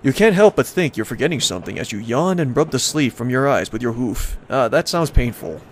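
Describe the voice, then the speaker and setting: A man's voice: medium pitch, animated, a little fast, slight background noise. A man hosting a let's play, casually commenting and reading aloud in a playful, rambling way as he reacts to the game.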